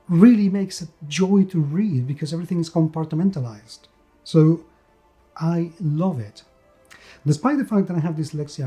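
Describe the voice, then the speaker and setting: Emphatic male game reviewer, mellow voice. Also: a little high. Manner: enthusiastic, emphatic, repeats words for stress. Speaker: host and reviewer of tabletop role-playing games. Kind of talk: scripted-sounding video review.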